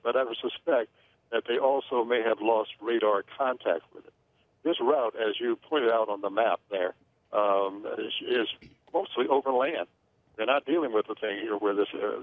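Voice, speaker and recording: Measured man on a tinny phone line. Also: on the low side, no room sound. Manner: measured, hesitant, many fillers. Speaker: aviation analyst. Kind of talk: phone-in expert interview.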